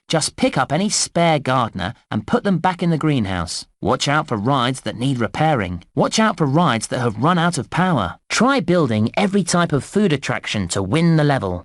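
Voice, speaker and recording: Animated, cheerful British game guide. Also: male voice, on the high side, no room sound, studio quality. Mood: upbeat, instructive. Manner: bouncy, clearly enunciated, encouraging. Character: cheerful, eager male theme park guide in a children's game. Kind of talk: scripted children's game narration.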